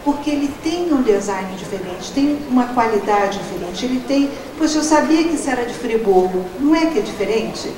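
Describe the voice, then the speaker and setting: A woman's voice: on the high side, lively intonation, a little fast, hardly any pauses, a crowd in the background. A middle-aged woman official speaks emphatically at an event, searching for words, into a handheld microphone. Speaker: government official. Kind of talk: speech at an event. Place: large event hall. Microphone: handheld mic, heard from far. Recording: echoey hall, distant, noisy.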